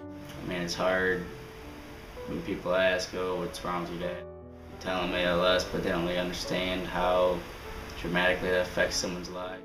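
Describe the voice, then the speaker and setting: A young man in his twenties talks plainly and haltingly in a documentary interview. His voice is deep and almost monotone, set in a roomy space with clear music behind him. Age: 20s.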